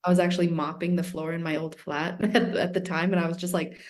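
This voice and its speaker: A woman with a somewhat low voice chats casually as a podcast guest. She speaks cheerfully and with animation. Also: level tone, fast. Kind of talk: casual chat.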